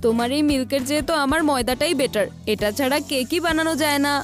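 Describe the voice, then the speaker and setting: A woman's voice: high voice, fast talker, over music, natural voice. A woman voicing Bunty, a whiny, demanding young boy in a cartoon.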